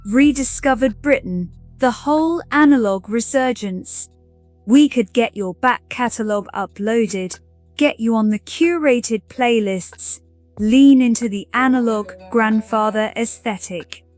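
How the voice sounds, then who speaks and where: A woman plays an eager young professional in an audio drama. She talks in a chatty, brisk, salesy way, at a middling-high pitch. Soft music runs underneath. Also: dry recording, natural voice. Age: young adult. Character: bright young professional woman, eager streaming-platform scout. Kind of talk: scripted audio drama dialogue.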